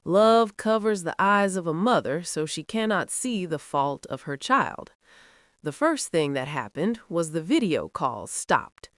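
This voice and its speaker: A woman with a Nigerian accent narrating a scripted first-person folktale in a dramatic, expressive way. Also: fairly low pitch, measured pace, no room sound, clean studio sound. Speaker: storyteller.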